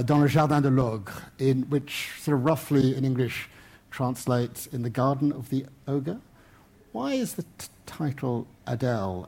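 A man's voice: medium pitch, a little mellow, husky. A man interviewing a novelist on stage, asking earnest, probing questions with small restarts. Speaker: interviewer, lawyer. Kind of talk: festival stage conversation.

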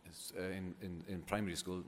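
A man with a low-pitched voice and a Welsh accent speaks to a room in an unscripted talk. His delivery is halting and thoughtful, as a native Welsh speaker choosing his words. The hall rings with long reverb, and there is faint noise behind him. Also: steady tone. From Wales. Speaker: poet and children's laureate giving a talk. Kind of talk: informal unscripted public talk. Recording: echoey hall, clear background noise.

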